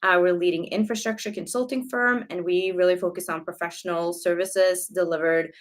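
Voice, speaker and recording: A woman's voice: lowish voice, steady tone, brisk. A woman from Norway, a company executive, answering interview questions in a friendly, articulate way with a few fillers. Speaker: guest executive.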